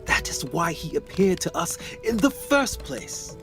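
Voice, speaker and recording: A man playing a deranged, dying explorer in a radio play, speaking in a halting, intense, pleading way. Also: a little high, sing-song, gravelly, a bit bright, soft background music, exaggerated voice. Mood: grave, haunted. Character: deranged, dying male explorer, tormented and desperate. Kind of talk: dramatic radio play acting.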